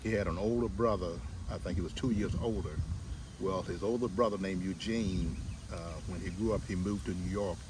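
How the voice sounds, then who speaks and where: An older man with a fairly low voice tells personal stories deliberately, repeating his points. He sounds away from the mic, outdoors with loud car noise around him. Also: steady tone. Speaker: interview guest. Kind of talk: personal storytelling in conversation. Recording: very noisy outdoor recording, distant microphone.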